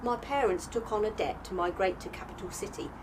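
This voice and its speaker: A woman's voice: medium pitch, animated, fairly quick, background noise, close to an everyday voice. A British woman playing a streetwise, exasperated young servant, blunt and slangy, in an audio drama. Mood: guarded.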